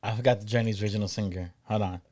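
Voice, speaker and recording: A man's voice: lowish voice, fairly even intonation, very fast, a little mellow. A man co-hosting a casual interview chat, chiming in with brief easygoing remarks.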